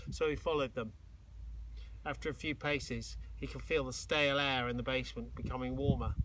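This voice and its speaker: A man reading a children's storybook aloud like a teacher, expressively and dramatically, with suspenseful pauses. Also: medium pitch, background noise. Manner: dramatic, with exclaimed sound effects and suspenseful pauses.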